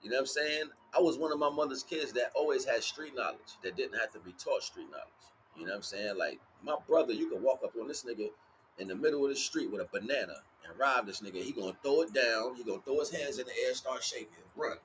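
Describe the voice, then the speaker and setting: A man's voice: mid-range voice, lively intonation, quick pace, fairly bright tone. Street-talking man preaching, noisy background. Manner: casual street slang with frequent swearing; emphatic, repeats phrases. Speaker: livestream spiritual teacher. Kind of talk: rambling motivational spiritual talk.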